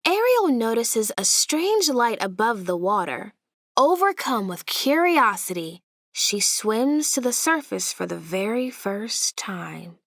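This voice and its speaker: Unhurried woman reading a children's storybook. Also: fairly high pitch, sing-song, gravelly, a bit bright, no echo, studio quality. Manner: deliberate, dramatic storytelling emphasis. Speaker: storybook narrator. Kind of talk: expressive read-aloud children's storytelling.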